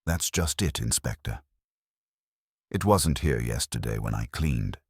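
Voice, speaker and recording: A man reads as an eager, observant cleaning woman in a mystery story. The voice is very deep, emphatic and matter-of-fact, with lively movement in pitch. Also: unhurried, gravelly, breathy edge, no echo, clean studio sound, exaggerated voice. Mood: eager, insistent. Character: observant, eager female caretaker reporting findings.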